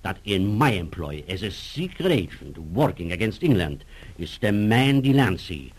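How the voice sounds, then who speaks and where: An actor playing a suave, menacing German professor and spymaster in a radio drama, with formal, courteous and calculating speech. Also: male voice, sits low, very animated, moderate pace, breathy edge, heavily acted. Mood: cold triumph. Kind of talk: 1940s radio drama acting.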